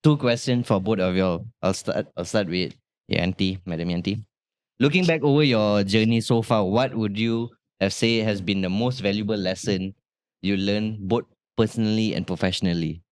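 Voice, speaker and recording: A man hosting an interview, gentle and affirming, phrasing his questions haltingly. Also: fairly low pitch, no room sound, clean studio sound. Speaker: host.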